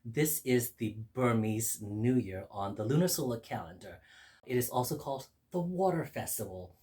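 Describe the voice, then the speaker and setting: A man from Burma hosting guests at his home, casually explaining a festival with a lively storytelling manner. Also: mid-range voice, moderate pace. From Mandalay, Burma. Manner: lively storyteller, laughs while telling. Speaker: host. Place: home in Brooklyn.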